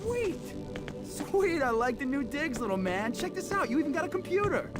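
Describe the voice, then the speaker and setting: An actor playing a brash, sarcastic rebel fighter in a video game, mocking, excitable and streetwise. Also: male voice, very high voice, normal speed, over loud music. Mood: amused, teasing. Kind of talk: game cutscene dialogue.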